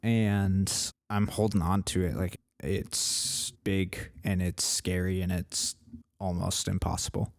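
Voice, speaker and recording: Low-voiced young man, dry close sound. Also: level tone, takes it slowly, gravelly, dry recording. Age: 20s. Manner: earnest, rambling, with many fillers. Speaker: podcast co-host. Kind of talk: casual chat.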